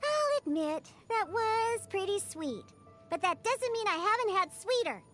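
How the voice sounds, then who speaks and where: A woman's voice: very high-pitched, big pitch swings, a little slow, soft background music, exaggerated voice. A woman voicing a feisty, proud young cat-eared girl bartender in a video game, pouty and bratty with cutesy delivery. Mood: huffy. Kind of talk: video game character voice lines.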